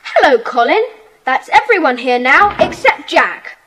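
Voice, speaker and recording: A woman playing a bossy, eager British schoolboy club leader in a children's audio drama, brisk and commanding. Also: sits high, very animated, noisy background.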